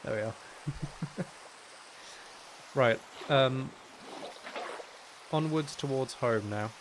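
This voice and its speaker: A man's voice: mid-range voice, drawn-out words, background noise. A man streaming a game, chatting casually in a rambling, hesitant way as he thinks aloud. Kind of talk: casual chatty gaming livestream commentary.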